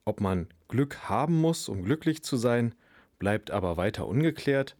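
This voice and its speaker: A man presenting a school radio segment, introducing a song and the next part with a slightly halting delivery. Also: mid-range voice, lively intonation, darkish tone, dry recording.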